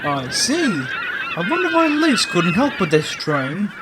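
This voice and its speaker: A man plays a busy, self-important railway boss full of halting bluster. The voice is high for a man and swings up and down pompously. The amateur drama track has clear background noise. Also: wildly swooping pitch, heavily acted. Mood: surprised. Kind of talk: amateur audio drama acting. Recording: noisy track with background ambience.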